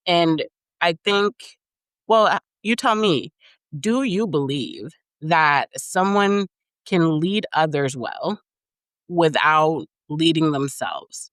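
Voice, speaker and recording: A co-host on a podcast, talking in a reflective, measured way, with plenty of "like" and "mm-hmm". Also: mid-range voice, lively intonation, fairly bright tone, no echo, studio quality. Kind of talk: casual conversation on leadership.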